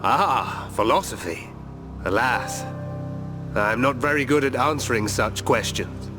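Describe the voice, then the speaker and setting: An actor playing a grave, sorrowful and vengeful war leader in a video game cutscene, with a solemn, formal, deliberate delivery. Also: male voice, medium pitch, over music, natural voice. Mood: reflective, wondering.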